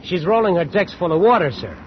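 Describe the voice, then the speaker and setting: Muffled, noisy vintage radio sound carries a young first mate in a sea drama. He is nervy, talkative and outspoken, in a fairly high male voice. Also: sing-song, quick pace. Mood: hesitant. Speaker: actor and narrator in a radio play. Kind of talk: old-time radio drama acting.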